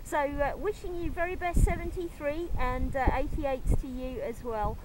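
A woman's voice: high-pitched, normal speed. A woman operating a portable amateur radio, chatting politely and using formal call-sign procedure. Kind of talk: ham radio contact, call signs. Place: outdoors by the shore, beside a portable radio. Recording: loud background noise, outdoor handheld camera recording.

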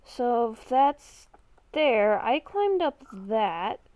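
A woman's voice: a little high, very animated, very slow, no echo, muffled recording. A woman improvising in-character game roleplay, talking to herself in murmurs and exclamations. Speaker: gaming video creator playing a roleplay character.

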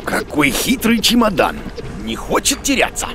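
An actor voicing a boisterous, foolish bearded monster teacher in a children's cartoon, theatrical and full of exclamations. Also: male voice, high-pitched, wildly swooping pitch, normal speed. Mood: scheming, blustering. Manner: exclamatory, theatrical, repeats words, chuckles.

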